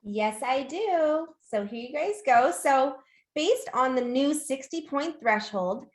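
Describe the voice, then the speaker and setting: A woman's voice: high voice, lively intonation, slow. A woman co-presenting a webinar and putting questions to the attendees, in a roomy, echoey recording. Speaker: webinar co-presenter running a poll. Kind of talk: webinar audience prompt.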